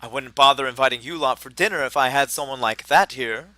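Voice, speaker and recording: A man's voice: medium pitch, a little fast, pushed and bright, no echo, natural voice. A man playing a pompous, blithely jovial mad doctor in a noir radio drama. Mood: baffled, dismissive. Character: eccentric, oblivious male mad doctor hosting a dinner, blithely jovial.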